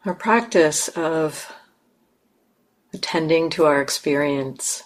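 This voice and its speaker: A woman leading a guided meditation in measured, instructive phrases with long pauses. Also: deep voice. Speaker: meditation teacher.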